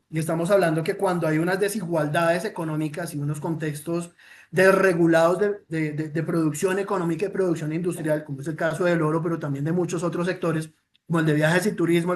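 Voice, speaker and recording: A man with a Colombian accent giving a formal, earnest presentation on a report, with frequent "eh" fillers and restarts. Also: high voice. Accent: Colombian Spanish. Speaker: presenter of a child protection report.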